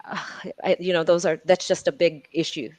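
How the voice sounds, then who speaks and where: A woman with a lowish voice answers as a panelist. Her sound is dry and close, with almost no room. She speaks earnestly and often restarts and repeats words. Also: steady tone, brisk, darkish tone, dry recording. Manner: earnest, repeats words while building thoughts.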